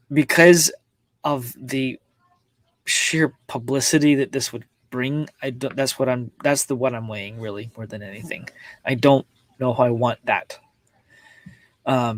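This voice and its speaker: A man hosting a personal livestream, talking casually and confidingly to viewers in a halting, reflective way, often circling back and repeating his words. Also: mid-range voice, no echo, rough recording. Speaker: host of a personal live-streamed show. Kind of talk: casual, confiding livestream talk to viewers, reading chat comments.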